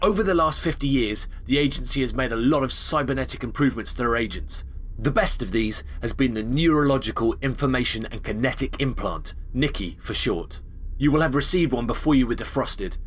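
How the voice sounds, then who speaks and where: A man acts a seasoned sci-fi agent briefing a recruit. His mid-range voice is brisk and instructive with dry understatement, over faint ambience. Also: mid-range voice, animated, a bit bright, slight background noise, natural voice. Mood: dry, explanatory. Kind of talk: scripted audio drama parodying video game tutorials.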